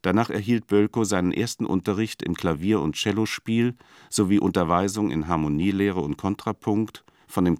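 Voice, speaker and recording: An actor playing a learned, earnest editor who reads out biographical commentary in a dry, pedantic, formal manner in a radio play. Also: male voice, low voice, darkish tone, no room sound.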